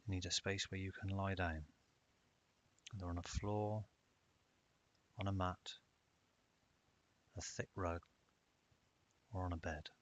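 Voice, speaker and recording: A man narrating a guided meditation in a calm, measured way with long pauses. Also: low-pitched. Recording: dull lo-fi audio with hiss.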